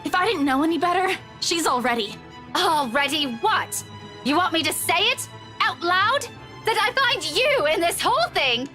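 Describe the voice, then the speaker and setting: A woman's voice: very high-pitched, expressive, normal speed, music in the background. An actress voicing an angry, bitter young woman in a visual novel game, confrontational and biting. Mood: furious, challenging.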